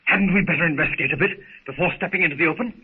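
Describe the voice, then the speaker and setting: A cautious gentleman on a jungle expedition. His voice is high and rough-edged, hesitant and formal. It is 1930s radio drama with dull, lo-fi sound. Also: very fast. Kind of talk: 1930s radio serial acting.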